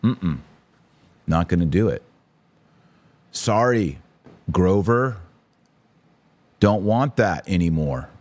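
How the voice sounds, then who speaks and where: A man's voice: deep voice, expressive, slow pace, long pauses, darkish tone, dry recording. A man hosting a live podcast, giving opinionated commentary and answering listeners in an emphatic, preacherly, indignant way. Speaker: podcast host.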